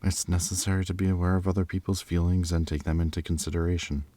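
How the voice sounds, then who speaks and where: A man's voice: sits low, little pitch movement, fast talker, warm tone, close to an everyday voice. A man playing a polite, reflective and charming diplomat candidate in an audio drama, speaking in a courteous, thoughtful way. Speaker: job candidate. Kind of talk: audio drama acting, conversational interview answers.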